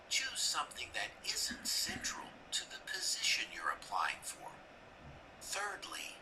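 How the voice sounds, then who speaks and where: Breathy, animated male English lesson presenter. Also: medium pitch, distant mic, rough recording. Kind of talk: scripted instructional narration.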